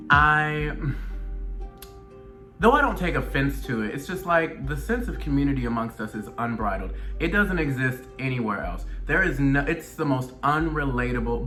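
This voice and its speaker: An earnest man with an Atlanta accent talks straight to his viewers in a mid-range voice. He leans on key phrases and repeats them for emphasis. Plucked guitar music plays clearly behind him. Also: medium pitch, pushed and bright. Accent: Atlanta, Southern US. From Atlanta, Georgia, United States. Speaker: video blogger, show host. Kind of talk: personal spoken commentary to viewers.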